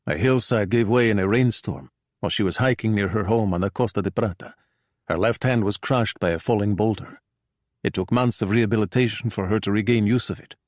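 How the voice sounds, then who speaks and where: A man's voice: on the low side, a little fast, no room sound, natural voice. Man playing an understated, dry-witted retired spy. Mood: measured. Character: understated, dryly witty retired spy, man, art restorer.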